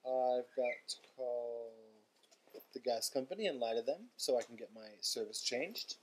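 A man's voice: mid-range voice, a little slow, poor audio. Weary, grumbling young man rambling. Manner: weary, grumbling, dryly sarcastic. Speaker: vlogger. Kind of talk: casual rambling video diary. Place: room in a house.

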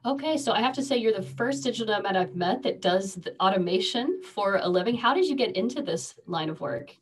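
A woman's voice: medium pitch, animated, brisk. A woman hosting an interview, asking her guest a question in an upbeat way. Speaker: podcast host.

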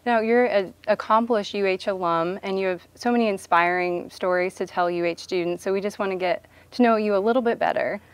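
A young woman hosting an interview, asking measured, polite questions on a lapel mic in a studio. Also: mid-range voice, clear, resonant tone. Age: young adult. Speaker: interview host. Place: dark studio set.

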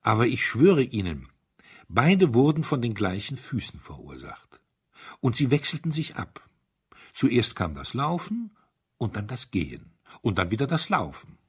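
A man voicing a gentle, thoughtful priest detective, explaining a crime in a deliberate, rhetorical storybook monologue. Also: medium pitch, huge pitch swings, breathy edge, heavily acted. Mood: intrigued, musing.

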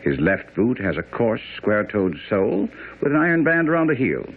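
An actor playing a brilliant, self-assured detective, precise and condescending, in a radio mystery drama. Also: male voice, lowish voice, big pitch swings, brisk, breathy edge, exaggerated voice. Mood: confident. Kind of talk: old-time radio drama acting. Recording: muffled, narrow-band radio broadcast.

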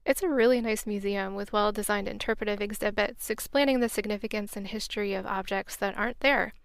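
A woman's voice: medium pitch, fairly even intonation, brisk, gravelly, dry recording. A woman hosting a podcast, reading scripted travel narration in a reflective, wry, earnest way, on a close mic. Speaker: podcast host.

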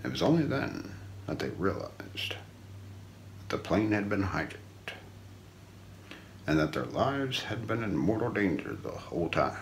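Deep, gravelly man narrating true crime ASMR. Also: sing-song, unhurried, long pauses, a little hiss or hum. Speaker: narrator of an unsolved-mystery story. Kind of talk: ASMR scripted narration, true-crime documentary role play. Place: small indoor room.

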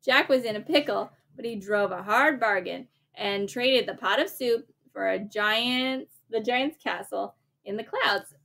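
A woman in her forties reading a children's picture book aloud, pausing to explain it simply to a small child. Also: mid-range voice, vocal fry, fairly bright tone. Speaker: storybook reader to a small child. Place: bright sunroom at home, seated on wicker sofa. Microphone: camera a few feet away.

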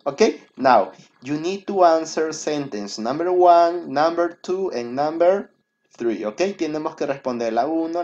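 Dull-sounding, lively Peruvian male English teacher. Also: a little high. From Peru. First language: Spanish. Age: 20s. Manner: encouraging, simple repeated instructions. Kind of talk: bilingual recorded lesson. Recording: dull, lo-fi screen-recording audio.

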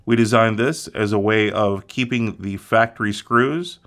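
Low-voiced man giving methodical repair steps. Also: a bit bright. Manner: methodical, plain instructional delivery. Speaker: repair instructor. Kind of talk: step-by-step repair tutorial.

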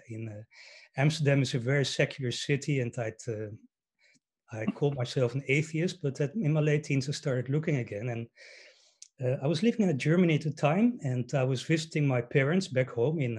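Measured middle-aged Dutch man telling his story. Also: mid-range voice, a little mellow, husky. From Netherlands. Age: 50s. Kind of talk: personal storytelling on a panel. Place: indoor room at home, video call.

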